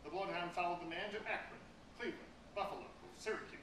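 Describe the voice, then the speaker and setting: A man's voice: sits high, fairly even intonation, quick pace. Echoey, distant man reading a fable. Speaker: story reader. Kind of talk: dramatic reading of a humorous fable. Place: public reading before an audience. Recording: echoey room, distant, clear background noise.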